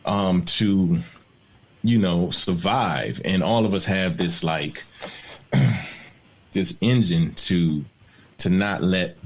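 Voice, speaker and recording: Low-voiced man telling stories reflectively. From born in the Bahamas, raised in Maryland. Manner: reflective, storytelling, laughs easily. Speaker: guest, film and TV showrunner. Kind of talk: candid panel conversation.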